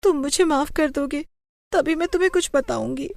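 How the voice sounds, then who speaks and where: A woman's voice: high voice, normal speed, creaky, darkish tone, no room sound, pitched above the performer's own voice. A woman voicing a guilt-ridden, frightened wife and mother in a pleading, confessional way for an audio story. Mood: pleading, guilty.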